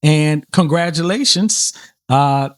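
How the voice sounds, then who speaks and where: A man's voice: a little high, slow, smooth, no echo, studio quality. Laid-back New Orleans man hosting. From New Orleans, USA. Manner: relaxed, repetitive, with many fillers and restarts. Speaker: podcast host. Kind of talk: casual interview chat.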